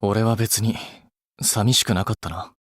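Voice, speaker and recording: An actor playing a blunt, practical young male idol in a game, deadpan and casual with an awkwardly kind streak. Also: on the low side, unhurried, no echo, studio quality. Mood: cold, detached. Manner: deadpan, casual clipped speech. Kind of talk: Japanese mobile game voice acting.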